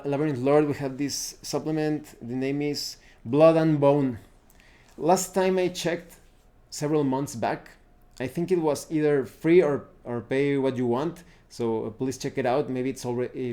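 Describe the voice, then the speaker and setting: A man presenting an unscripted tabletop game review to viewers, speaking in a halting way as he searches for words. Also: mid-range voice, steady tone, warm tone.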